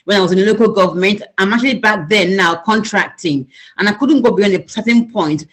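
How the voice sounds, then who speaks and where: A woman's voice: mid-range voice, brisk, a bit bright. A woman speaks openly as a guest on a live panel. She talks conversationally and often restarts her sentences partway through. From Nigeria. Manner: candid, conversational, with repeated restarts.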